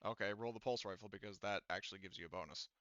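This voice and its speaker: Man, game master, clear smooth voice, casual rambling narration, informal, dry slightly muffled mic. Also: on the low side, racing, a bit bright, no echo.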